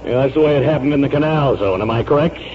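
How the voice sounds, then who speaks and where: An actor playing an exasperated, sardonic newspaper editor in a 1940s radio drama, with wry, weary sarcasm. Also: male voice, medium pitch, fast talker, noisy background, close to an everyday voice. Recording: dull 1940s radio recording, crowd murmur.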